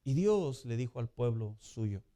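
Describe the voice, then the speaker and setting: A man preaching a sermon as pastor in a conversational way, building to loud emphatic peaks. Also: medium pitch, very animated, unhurried.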